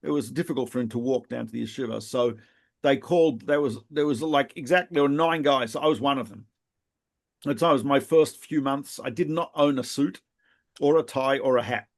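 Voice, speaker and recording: A middle-aged man from Australia swaps stories with a friend on a podcast, with a voice of ordinary pitch. He tells his anecdotes with animation and laughs at them. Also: a little fast. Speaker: podcast co-host, rabbi. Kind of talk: casual reminiscing conversation.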